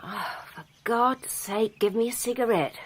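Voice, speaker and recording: A woman's voice: a little high, measured pace. An actress playing a languid, flirtatious married woman with a seductive drawl in a radio drama.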